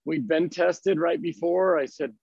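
A head coach who is losing his voice, speaking in a raspy tone and laughing easily between thoughts. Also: on the high side, unhurried, a little mellow, dry recording, dull audio. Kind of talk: phone interview.

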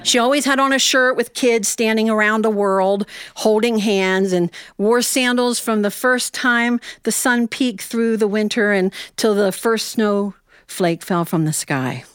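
American lady telling a funny story from her life, folksy n animated, medium pitch, dry clean sound. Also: measured pace, clear, resonant tone, dry recording. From Ohio, United States. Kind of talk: live oral storytelling, humorous personal tale.

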